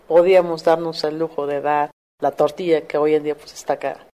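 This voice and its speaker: A woman's voice: deep voice, level tone, fairly quick, mellow. A middle-aged woman from Mexico, earnestly recounting her community work in a documentary interview with everyday fillers. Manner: plain, earnest storytelling with fillers. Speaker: community volunteer coordinator.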